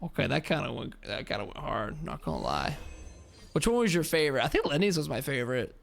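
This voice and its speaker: A woman's voice: rumbling low, big pitch swings, fast, creaky. Slangy young woman streamer reacting. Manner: playful, slangy, reacting out loud. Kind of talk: casual gameplay commentary. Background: game music.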